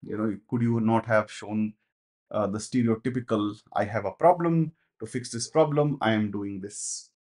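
A man, reviewing a film in casual, animated talk, with many fillers and restarts, in a small home studio. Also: on the low side, moderate pace. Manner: animated, gestures a lot, thinks aloud with many fillers and restarts. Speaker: movie reviewer.

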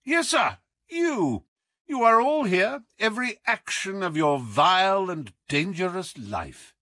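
A man voicing a sharp, commanding detective in an audiobook, coolly taunting, with deliberate, teasing emphasis. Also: high-pitched, very animated, no room sound, clean studio sound, voice pushed up, exaggerated voice. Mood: accusing. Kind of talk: audiobook character acting.

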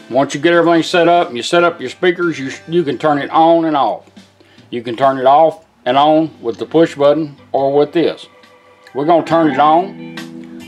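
Higher-pitched folksy man, demonstrating hands-on. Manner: plain-spoken, folksy, short step-by-step instructions. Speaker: presenter demonstrating a custom car radio. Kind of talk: casual hands-on product demonstration. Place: indoors at a workbench. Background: guitar music from the radio being demonstrated.

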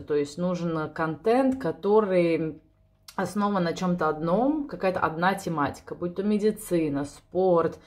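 Woman in her 30s, rambling casual vlog to a phone camera in a kitchen, slightly low voice. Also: a little mellow. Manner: chatty, rambling, self-ironic. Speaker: vlogger. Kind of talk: spontaneous casual chat to camera. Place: home kitchen, indoors. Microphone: camera or phone on the table.

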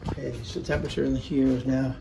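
A male technician talks his way through a repair, mostly to himself, in short plain remarks that often drop to a half-whisper. His voice has a gravelly rasp. Loud outdoor noise fills the background. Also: mid-range voice, flat delivery, quick pace. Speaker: HVAC technician. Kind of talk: on-the-job narration while working. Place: outdoors at a house and in a hot attic.

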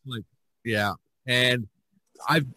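A man with a bright, forceful voice hosts a casual podcast chat. He rambles along sociably at an average pitch, and the sound is very dry, with little room echo. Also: very slow. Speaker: podcast host and painter.

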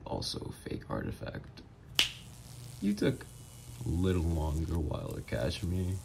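Man playing a deep, gravelly flirty supervillain. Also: warm tone, a little hiss or hum, heavily acted. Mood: teasing. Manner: teasing, calls listener babe. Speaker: roleplay voice actor. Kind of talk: ASMR roleplay audio.

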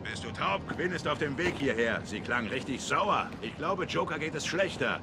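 Gruff rude henchman. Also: fairly high pitch, animated, moderate pace, background noise. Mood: irritated. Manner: rude, grumbling. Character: gruff irritated male thug henchman. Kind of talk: German game dub.

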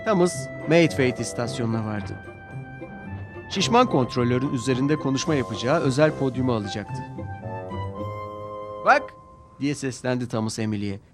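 Animated male storyteller over music. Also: mid-range voice, fairly quick. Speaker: narrator. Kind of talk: scripted children's story narration. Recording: music behind the voice.

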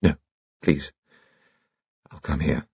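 A man's voice: rumbling low, fairly even intonation, takes it slowly, creaky, dark tone, breathy edge, no room sound, muffled recording, exaggerated voice. A man voicing a tired society mother. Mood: weary.

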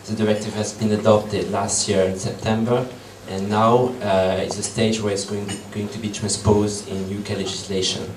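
A man's voice: lowish voice, little pitch movement, moderate pace, few pauses, a little hiss or hum. A man in his thirties with a French accent, giving a hesitant public talk to an audience in a meeting room. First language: French and Portuguese. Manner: hesitant, many ums and restarts. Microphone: far room camera. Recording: echoey room from far off.

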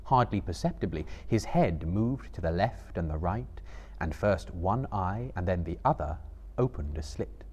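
A male storyteller with a lowish, husky voice gives a dramatic novel reading. He goes slowly and suspensefully, with very expressive pitch. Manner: measured, suspenseful delivery. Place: small book-lined stage before a live audience, indoors.

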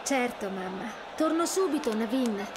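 A woman playing a hopeful, hardworking, kind young restaurateur in an animated game dub. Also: fairly high pitch, moderate pace, background noise, lightly acted. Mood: kind, earnest. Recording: crowd and street ambience behind.

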